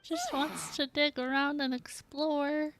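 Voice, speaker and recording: A woman's voice: sits high, sing-song, takes it slowly, background noise. A woman streaming a video game, giving casual, off-the-cuff commentary as she plays. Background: game music.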